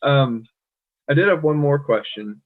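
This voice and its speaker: A man from the United States co-hosting a casual chat, thoughtful and pausing often with "um". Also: fairly high pitch, expressive. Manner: thoughtful, many "um" fillers. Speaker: podcast co-host. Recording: tinny phone-like line.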